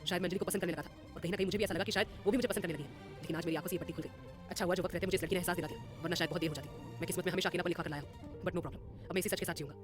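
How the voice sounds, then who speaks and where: A hot-tempered young man, hurt and lonely, snapping fast and loud in an audio story, as a woman narrator gives him voice. His speech rushes along, and when the anger fades he sinks into low, bitter self-talk. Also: fairly even intonation, music in the background, close to an everyday voice. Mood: brooding. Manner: shouting in anger, then quiet inner brooding. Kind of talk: Hindi audio story character voice.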